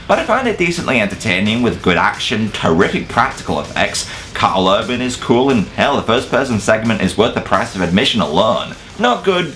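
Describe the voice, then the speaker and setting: A man's voice: lowish voice, fairly bright tone, slight background noise. A man presenting a scripted countdown review as a video host, in an opinionated, casual, sweary manner. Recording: music playing behind the voice.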